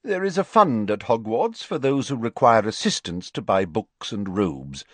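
Man acting elderly wizard schoolmaster, storybook character, calm, stern, deliberate, very expressive pitch, close dry sound. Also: dry recording. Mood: calm, helpful. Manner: measured, deliberate, courteous but firmly authoritative.

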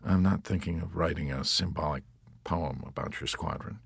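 Shy, apologetic army chaplain in his thirties male narrator acting: hushed, hesitant, polite, storybook delivery. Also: fairly low pitch, expressive, brisk, husky, dry recording, studio quality. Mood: unhappy, mumbling. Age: 30s. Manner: mumbling, soft, hesitant.

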